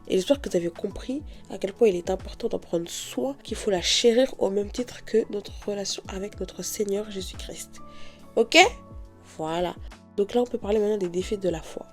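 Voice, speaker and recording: A woman's voice: medium pitch, dark tone. Woman with a stuffy head cold. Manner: chatty, addresses listeners directly. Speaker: podcast host.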